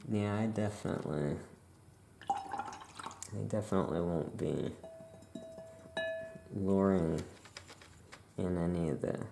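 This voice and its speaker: Very creaky, low, soft-spoken ASMR voice. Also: slow pace, long pauses, over music. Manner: soft-spoken, musing to self. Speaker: ASMR creator. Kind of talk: soft-spoken ASMR roleplay as a shopkeeper while crafting.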